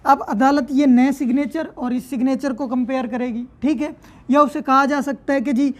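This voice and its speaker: A woman's voice: sits high, fairly even intonation, moderate pace, darkish tone. A woman with a Pakistani accent giving an explanatory law lecture in a didactic way, with frequent check-in tags. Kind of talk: explanatory lecture, reading out and paraphrasing legal text.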